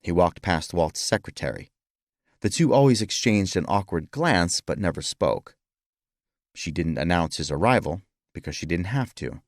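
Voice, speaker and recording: Male audiobook narrator for historical fiction, lower register, scripted read, very dry sound. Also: animated, normal speed, dry recording.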